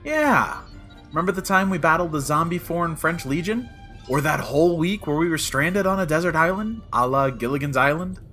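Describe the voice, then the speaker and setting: A reluctant, worn-out male adventurer, played by a man in a comedy audio drama. He sounds bright and highly animated, yet hesitant and stubborn, with faint music behind. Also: moderate pace. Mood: weary, exasperated. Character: weary, reluctant bachelor adventurer, evasive but stubborn.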